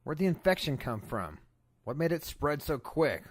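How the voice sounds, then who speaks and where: A man playing an earnest radio newsman in an audio drama, solemn and measured as he broadcasts from a disaster. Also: on the high side, sing-song, no room sound. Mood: determined. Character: earnest male radio newsman reporting an apocalypse. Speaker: radio news broadcaster and host.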